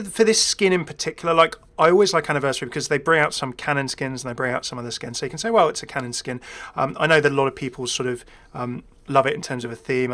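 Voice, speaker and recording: A man's voice: medium pitch, fairly bright tone. A man hosting a gaming video, giving casual, rambling, chatty commentary.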